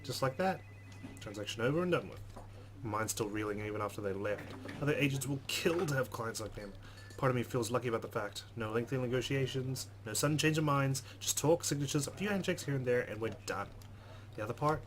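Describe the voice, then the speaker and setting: A man's voice: mid-range voice, fairly quick. A man hosting a let's play, reading game text aloud dramatically and adding wry asides. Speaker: gaming host. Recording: faint background noise.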